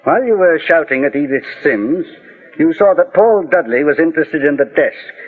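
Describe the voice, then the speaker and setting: Kindly old male detective, measured, formal, unruffled, 1940s radio drama, narrow tinny sound. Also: a little high, animated. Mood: calm, accusing. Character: kindly old gentleman detective, calm and shrewd. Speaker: lead detective.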